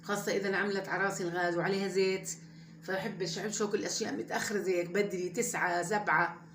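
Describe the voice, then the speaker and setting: A woman's voice: medium pitch, steady tone, racing, a bit bright, roomy sound, slight background noise. A woman, hosting a home cooking channel and chatting casually and rambling straight to her viewers from her kitchen. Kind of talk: casual cooking vlog chat.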